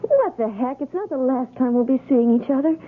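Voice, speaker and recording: An actress playing a cheerful, reassuring young expectant wife in a radio drama, speaking in a chatty, soothing way. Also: female voice, high voice, expressive, a little fast, slight background noise. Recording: thin, muffled old radio recording.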